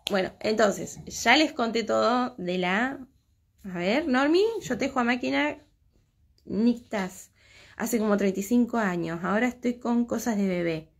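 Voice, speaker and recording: A woman's voice: fairly high pitch, unhurried, creaky. A woman in her thirties with an Argentine Spanish accent, a crochet teacher talking casually with viewers and answering their comments from a room at home. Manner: chatty, reading out and answering comments. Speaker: crochet teacher hosting a livestream. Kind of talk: casual live chat with viewers. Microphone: phone at arm's length.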